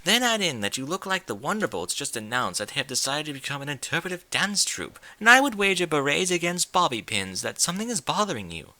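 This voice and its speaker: Prim, motherly lady pony, played by a man; pitched up, bright, refined and fussy storybook voice. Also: very animated, fast talker, few pauses, dry recording, exaggerated voice. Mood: earnest, insistent. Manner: genteel, theatrical, chiding diction. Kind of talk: audiobook character voice.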